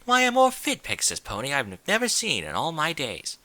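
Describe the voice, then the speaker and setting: A man's voice: on the high side, huge pitch swings, a little fast, pushed and bright, no room sound, voice pushed up, full character voice. A man voicing a prim, fussy female pony in an audiobook, with genteel, theatrical, chiding diction. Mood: chatty, chiding. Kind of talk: audiobook character voice.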